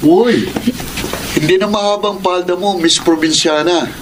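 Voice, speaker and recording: A man's voice: sits high, a little slow. An actor playing a cheeky, persistent young male college student in a radio drama, teasing and a bit pushy. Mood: playful. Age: young adult. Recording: clear background noise and ambience.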